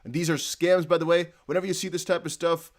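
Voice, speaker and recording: A young man with a Dutch accent hosting a casual livestream, reading chat aloud and breaking into excited whoops. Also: on the high side, fast. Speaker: crypto livestream host.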